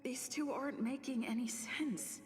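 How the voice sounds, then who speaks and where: An earnest young game heroine lost in thought. Her slightly high voice muses in a reverberant space over faint music. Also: a little slow. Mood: puzzled, musing. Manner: musing to herself. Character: puzzled, earnest young heroine, hazy and introspective. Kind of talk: video game voice acting.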